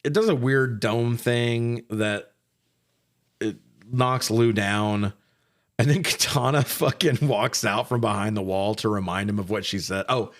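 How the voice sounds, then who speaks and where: A man's voice: on the low side, a little slow. Sweary, jokey man recapping a film. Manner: sweary, jokey, mimics characters' lines. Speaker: podcast co-host. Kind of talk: casual comedic film recap.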